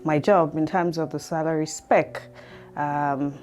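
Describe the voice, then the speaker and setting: A Nigerian woman in her thirties with a low, mellow voice, telling her story as an interview guest. She talks hesitantly and fills pauses with soft ums. Faint music runs behind her in the studio. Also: steady tone.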